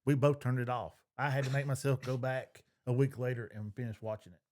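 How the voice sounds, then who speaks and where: A man's voice: medium pitch, fairly even intonation, brisk, darkish tone. A middle-aged man, giving blunt opinions in casual podcast talk and repeating his point. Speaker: podcast co-host.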